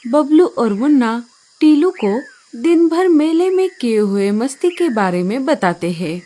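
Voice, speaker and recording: A woman narrates a children's cartoon story in a fairly high, very animated voice. She takes her time with each phrase, and light music plays underneath. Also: a little slow.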